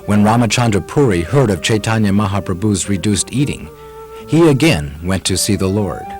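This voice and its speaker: A man narrating a puppet-show story from a script in a measured, solemn way. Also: lowish voice, animated, a little mellow. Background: music.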